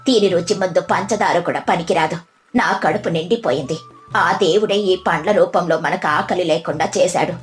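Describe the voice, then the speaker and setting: A woman voicing a gentle, pious, resigned village woman in a moral-story cartoon. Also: fairly low pitch, normal speed, soft background music, voice pushed down, lightly acted. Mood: grateful.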